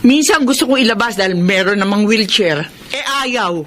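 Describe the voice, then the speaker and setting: An exasperated Filipino woman played by an actress in a radio drama, nagging and fussing over her sick fiancé. Her pitch swings widely over a clearly noisy background. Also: lively intonation, a bit bright, lightly acted. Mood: exasperated, scolding. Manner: nagging, scolding, quick to fuss.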